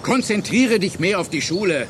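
A man's voice: high voice, animated, normal speed, background noise. An actor playing an authoritative male schoolteacher in a radio play, firm and instructing. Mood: measured, placating. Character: authoritative male schoolteacher, exasperated but measured. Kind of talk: German radio play acting.

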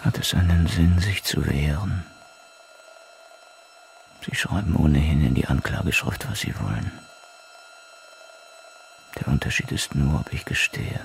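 An actor playing an anxious but defiant prisoner under interrogation, intense, brooding and halting, in a radio play. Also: male voice, rumbling low, creaky, a little hiss or hum, heavily acted. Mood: resigned.

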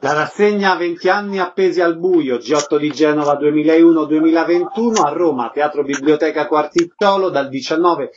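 A man's voice: on the high side, moderate pace, few pauses. A man hosting a radio show, asking questions and reading out announcements. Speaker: radio interviewer and host. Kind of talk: radio interview and scripted announcements. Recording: dull, noisy lo-fi radio audio.

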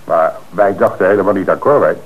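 An actor playing a calm, shrewd police commissioner who gives orders and explains the case in an old radio play. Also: male voice, sits low, fairly quick, phone-quality sound. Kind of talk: radio drama acting.

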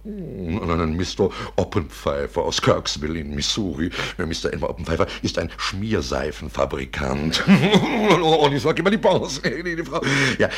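Husky, fussy old gentleman. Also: low voice, full character voice. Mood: confiding, eager. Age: older. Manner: effusively polite, hesitant, repeats himself. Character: courteous, fussy old gentleman collector of snuffboxes. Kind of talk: 1950s German radio play acting.

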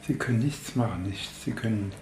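An older man with a gravelly voice, speaking haltingly and repeating himself. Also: lowish voice, a little mellow. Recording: roomy, echoing, faint noise and rustling.

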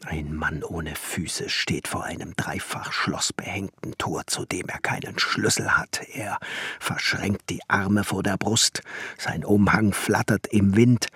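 An actor playing a brooding, reverent man who muses in hushed, deliberate literary language in a radio play. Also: on the low side, vocal fry, breathy edge, no room sound, clean studio sound, heavily acted. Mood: hushed, enigmatic. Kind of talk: poetic radio drama monologue.

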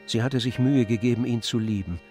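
A man reading scripted literary narration for a radio play in a measured storytelling manner. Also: mid-range voice, warm tone, faint music behind.

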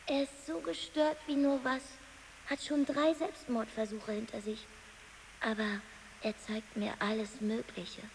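Higher-voiced tense woman in reverb. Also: flat delivery, a little slow. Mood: confiding. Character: tense, devoted young woman in a troubled romance. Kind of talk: naturalistic radio drama dialogue. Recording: reverberant hall, clear hiss.

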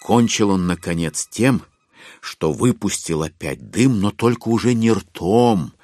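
A man narrating a literary audio drama in an expressive, wry storytelling style. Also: fairly low pitch, measured pace, dry recording, soft background music.

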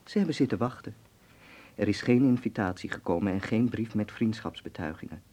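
Een acteur speelt een wereldwijze, plagerige en bazige vriend in een hoorspel, met veel nadruk. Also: male voice, lowish voice, brisk, warm tone, close to an everyday voice. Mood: insistent, scolding. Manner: emphatic, teasing, commanding. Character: worldly, teasing, bossy Dutch friend in 1930s Berlin. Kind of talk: radio drama acting.

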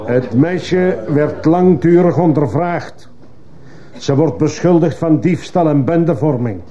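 A man's voice: a little high, slow pace, warm tone. A man playing a stern, probing judge who fires insistent questions in a radio courtroom drama. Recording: muffled, hissy old radio play recording.

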